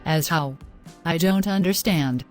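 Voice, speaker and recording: A woman's voice: on the low side, measured pace, a little mellow, lightly acted. Distraught young woman, self-loathing and dramatic, played by an actress for a moral-story audio drama, music underneath. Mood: anxious.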